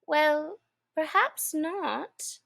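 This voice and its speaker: Woman voicing a high-pitched, polite storybook girl. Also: drawn-out words, vocal fry, fairly bright tone, full character voice. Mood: soothing, dreamy. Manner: apologetic, soothing, dreamy rambling. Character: polite, eager, chatty young girl. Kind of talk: storybook character voice.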